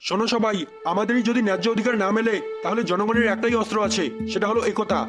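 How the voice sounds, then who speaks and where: Outspoken cartoon village uncle played by a man, exhorting, plain-spoken, high male pitch, brisk, loud music behind. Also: steady tone, natural voice. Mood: rallying. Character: outspoken village uncle rallying people against corruption. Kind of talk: comedy cartoon dubbing.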